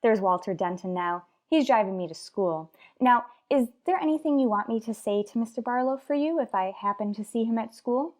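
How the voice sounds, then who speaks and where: A woman playing a wry, sardonic high school English teacher in an old-time radio sitcom, delivering dry wisecracks. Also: lively intonation, fairly quick, few pauses, darkish tone, lightly acted. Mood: dry teasing.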